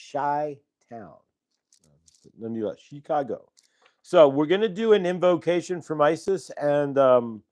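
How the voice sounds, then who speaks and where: A middle-aged man with a mid-range voice hosts a live online show from home. He rambles warmly through thanks and plans, restarting sentences and filling pauses with "uh" and "um". He is speaking into a small clip-on microphone. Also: mid-range voice, no echo. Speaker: host. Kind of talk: casual live-stream chat. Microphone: clip-on transmitter mic.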